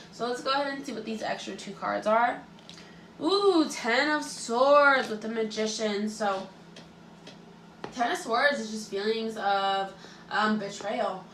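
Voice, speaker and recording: A chatty woman lays out tarot cards for her viewers, relaxed and full of little fillers. Her nose is a bit stuffy and her throat a little sore from allergies. The sound is echoey, with faint room hum underneath. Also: medium pitch, recorded from a distance. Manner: chatty, relaxed, many likes and ums. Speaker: tarot reader and astrologer. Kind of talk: casual tarot reading for viewers.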